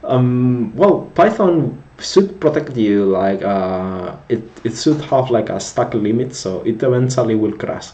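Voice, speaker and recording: A man's voice: medium pitch, animated, unhurried, hardly any pauses, mellow. A young man from Spain with a Spanish accent, chatting casually on a live stream and restarting his words often. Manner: rambling, many restarts and repeated words, laughs often. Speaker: streamer, backend developer.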